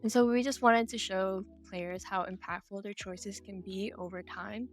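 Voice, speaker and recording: A woman's voice: mid-range voice, fairly even intonation, normal speed, faint music behind. A woman explaining her work as an interview guest. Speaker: interview guest, game project lead.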